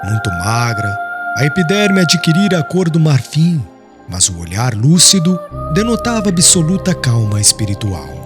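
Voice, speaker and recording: A man's voice: a little high, sing-song, moderate pace, over music. A man narrating an audiobook in a solemn, literary, dramatic style.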